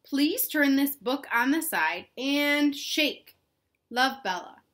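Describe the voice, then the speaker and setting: A woman's voice: sits high, animated, slow, a bit bright, pitched above the performer's own voice, heavily acted. A young woman reading the words of an earnest, polite little girl in a picture book. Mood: polite pleading.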